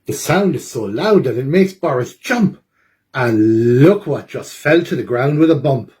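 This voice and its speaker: A man reading a children's picture book aloud at a library storytime, with dramatic, expressive delivery. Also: medium pitch, a little slow.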